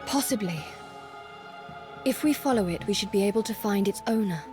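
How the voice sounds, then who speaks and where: A woman's voice: mid-range voice, expressive, normal speed, music in the background, lightly acted. A woman playing a dutiful, resolute young valkyrie in a fantasy video game, formal, composed and earnest.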